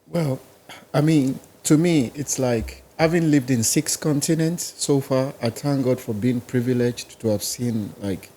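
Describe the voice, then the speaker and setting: A man's voice: medium pitch, unhurried, mellow, slight background noise. A man with a Nigerian accent, a musician guest in a podcast interview, talking in a rambling, casual way. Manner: rambling, casual, listing places and languages.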